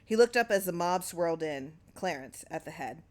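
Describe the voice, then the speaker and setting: A woman reads a short story aloud. Her voice sits a little low, and she works as a narrator delivering scripted prose. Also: animated, normal speed, a little mellow. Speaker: story reader.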